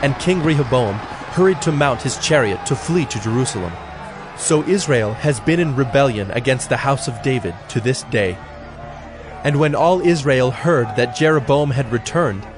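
A man narrating a dramatized Bible in a measured, solemn storytelling style. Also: mid-range voice.

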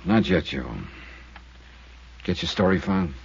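A man's voice: sits low, takes it slowly, a little hiss or hum. An actor playing a terse, world-weary male insurance investigator who also narrates, in 1950s radio drama style. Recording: muffled old radio broadcast with music.

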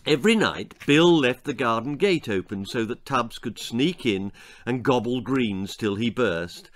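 Slow, theatrical older storyteller. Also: male voice, medium pitch, expressive. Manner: theatrical, emphatic. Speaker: author reading own story aloud. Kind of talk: dramatic children's storytelling. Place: small book-lined room at home.

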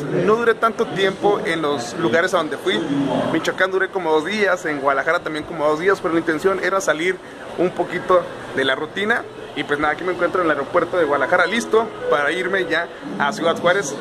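A young man, chatting cheerfully to a phone camera as a travel vlogger. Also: sits high. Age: young adult. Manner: cheerful, chatty, keeps saying "compadre". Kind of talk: casual travel vlog. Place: city streets, car and airport, in and out of doors. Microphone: phone camera at arm's length.

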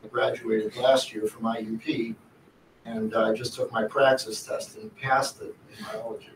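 A man's voice: medium pitch, level tone, moderate pace. A man in his sixties gives an earnest, rambling statement with restarts as an applicant at a school board meeting. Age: 60s. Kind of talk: public comment at a meeting. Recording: echoey room from far off, noisy.

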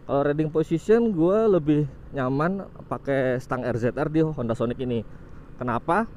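A young man, giving casual motovlog commentary while riding, relaxed and informal, often saying "guys". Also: on the high side, moderate pace, slight background noise. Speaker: motovlog host. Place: on a motorcycle on a city road outdoors.

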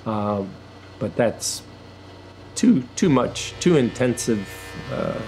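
An older man, a retired engineer, reflecting slowly on his woodworking in a documentary interview, with many pauses and restarts. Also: medium pitch, big pitch swings, a little mellow, a little hiss or hum, rough recording. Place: woodworking shop in a farm building.

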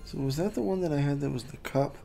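A man's voice: fairly high pitch, fast, gravelly, faint music behind. A man giving casual, chatty commentary while playing a video game. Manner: relaxed, chatty, reacting to the game. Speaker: gameplay streamer.

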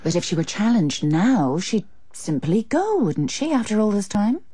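A woman's voice: medium pitch, big pitch swings, normal speed, mellow, slightly rough, no room sound. A woman with an English accent playing a scheming, seductive mistress in a radio drama, intimate, teasing and persuasive. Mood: coaxing, scornful.

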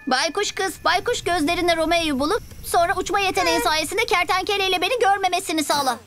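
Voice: extremely high pitch, quick pace. An actor voicing a brave, eager little boy superhero with a bold, urgent manner in a children's cartoon dub. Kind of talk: animated children's cartoon dubbing. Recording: loud music under the voice. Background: loud cartoon score.

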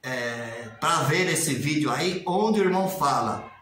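A man's voice: on the high side, animated, unhurried, a bit bright, very echoey. A man in his sixties with a Brazilian Portuguese accent, speaking casually and animatedly to a webcam in a small tiled room, sometimes mimicking formal prayers in a raised sing-song voice. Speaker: religious commentator. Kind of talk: casual video commentary. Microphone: webcam at desk distance.

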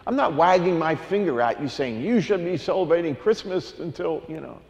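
A male priest giving a homily, singing a long list of saints and then explaining it in a relaxed, conversational way. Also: fairly high pitch, fairly quick, vocal fry, long reverb tail. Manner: sings a long cumulative list, then talks plainly and conversationally. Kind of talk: homily with a sung carol.

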